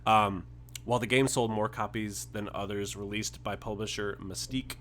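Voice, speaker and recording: Low-voiced laughing podcast co-host. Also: male voice, steady tone, moderate pace, fairly bright tone, a little hiss or hum. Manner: easygoing, laughs often, teasing. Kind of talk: casual gaming chat.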